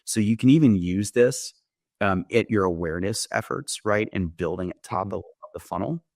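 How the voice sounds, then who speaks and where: A man's voice: fairly low pitch, normal speed, vocal fry, a little mellow, no room sound, studio quality. A man giving a conversational product demo, explaining things step by step with the odd check question. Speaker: company founder giving a software demo.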